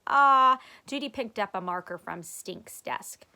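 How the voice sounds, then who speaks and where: A woman reading a children's chapter book aloud as a teacher, expressive and deliberate. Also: fairly low pitch, vocal fry.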